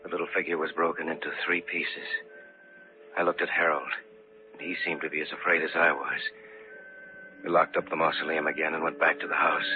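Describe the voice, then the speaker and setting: A man acting as a haunted, uneasy narrator of a radio murder mystery, telling it slowly and deliberately with suspense. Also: very deep voice, little pitch movement. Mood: uneasy, suspenseful. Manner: slow, deliberate, suspenseful storytelling. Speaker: radio drama actor and narrator. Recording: muffled old radio recording with music.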